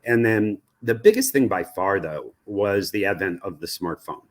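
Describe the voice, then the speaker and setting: Male expert guest, American accent, deep voice, measured and halting explanatory delivery. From United States. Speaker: interview guest, research company president. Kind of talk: explanatory interview answers.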